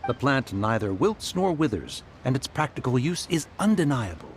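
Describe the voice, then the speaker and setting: A man's voice: mid-range voice, sing-song, moderate pace, slight background noise, lightly acted. Man voicing a stately ancient mountain spirit. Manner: stately, archaic, sighing. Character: proud old male mountain adeptus in human form. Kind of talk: game voice acting.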